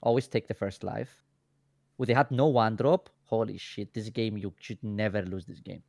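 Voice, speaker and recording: A man from Greece with a Greek accent, co-casting a card game tournament in casual, excitable talk that often restarts phrases. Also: on the low side, normal speed, gravelly, no room sound, clean studio sound. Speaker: co-caster.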